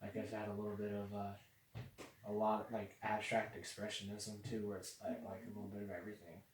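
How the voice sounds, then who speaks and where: A young man hosting a podcast in casual chat, rambling with many fillers and getting excited about social media. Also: fairly low pitch, brisk. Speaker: podcast host. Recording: echoey room, mic at a distance, faint noise.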